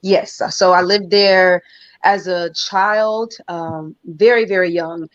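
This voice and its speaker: Singer guest, female, low-ish voice, reflective and measured, muffled dry lo-fi recording. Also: fairly even intonation, no echo. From New York. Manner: reflective, thoughtful, measured with fillers. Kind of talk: casual interview talk. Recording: dull lo-fi dry sound.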